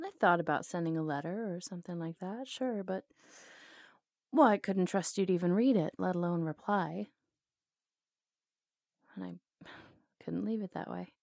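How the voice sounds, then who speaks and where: A woman's voice: mid-range voice, a little mellow, dry recording, studio quality. A woman playing a bold, lovelorn outlaw cowgirl in an intimate ASMR roleplay, sardonic and flirtatious, drawing words out. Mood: guarded.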